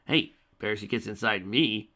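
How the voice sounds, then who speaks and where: A man's voice: lowish voice, moderate pace, pushed and bright. A man voicing an insane male alicorn villain who taunts and snickers at his foe. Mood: taunting.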